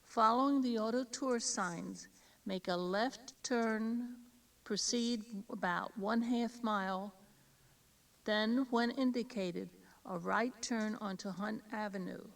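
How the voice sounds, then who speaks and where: A woman reading scripted driving directions as a tour guide, in a measured, instructional way. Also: on the high side, gravelly. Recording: 1980s cassette tape, slightly distant mic.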